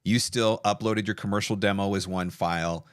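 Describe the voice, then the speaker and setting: A middle-aged man hosting a casual online interview and pitch, talking in an emphatic, enthusiastic, conversational way. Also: steady tone, moderate pace, no echo, clean studio sound. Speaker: webinar host.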